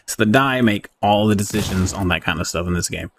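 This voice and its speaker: Low-voiced man, rambling game review, noisy background. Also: fairly quick. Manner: rambling, thinking aloud, chuckles often. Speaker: gaming channel host. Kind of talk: casual game review commentary. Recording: clearly audible background noise.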